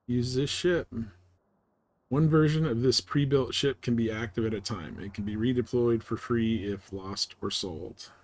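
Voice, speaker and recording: A man's voice: medium pitch, a little slow, a little mellow. Man casually commentating on his game stream. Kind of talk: casual live gameplay commentary.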